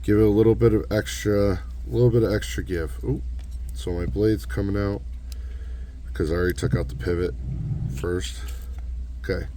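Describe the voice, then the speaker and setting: A young man with a hoarse voice from losing it, casually walking through a hands-on tutorial in a relaxed, rambling way. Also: on the low side, normal speed, pauses often, slight background noise. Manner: relaxed, rambling, self-correcting. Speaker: knife modding channel host.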